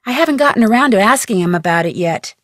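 An actress voicing a curious, plucky young female detective in a video game, with a brisk, wry tone. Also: medium pitch, expressive, fairly bright tone, dry recording, clean studio sound, close to an everyday voice. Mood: inquisitive. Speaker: game character, teen detective.

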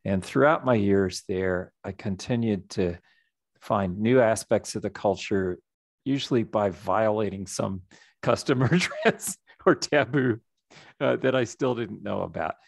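Low, gravelly man telling his story. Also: no echo, clean studio sound. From United States. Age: middle-aged. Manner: reflective storytelling, measured. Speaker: nonprofit executive director. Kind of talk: presentation over video call. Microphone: video call.

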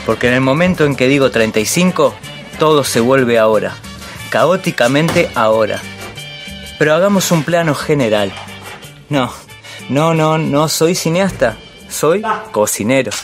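An actor playing a pompous, bullying master chef and dreamy film snob in a radio play, theatrical. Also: male voice, medium pitch, animated, a little slow, soft background music. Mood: musing, pompous. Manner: pompous, digressive, theatrical monologuing.

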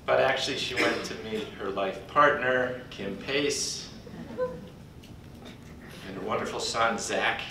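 An older man speaking at a podium in a large conference hall, introducing a colleague in a folksy, halting way with pauses. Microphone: podium mic, recorded from afar by a computer. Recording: distant echoey hall recording with background rumble.

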